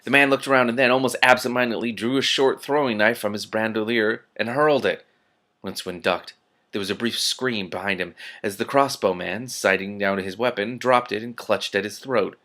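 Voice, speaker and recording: A man reading a story aloud in an animated, expressive way, correcting himself and adding his own commentary. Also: on the low side, normal speed. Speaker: reader and commentator.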